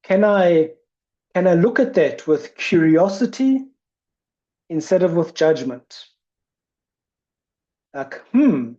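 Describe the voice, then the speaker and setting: Woman presenter who stutters, slow and mellow, dull call audio. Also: fairly low pitch. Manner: stutters with repetitions and blocks, thoughtful. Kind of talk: reflective talk. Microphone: video call. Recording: muffled video call audio.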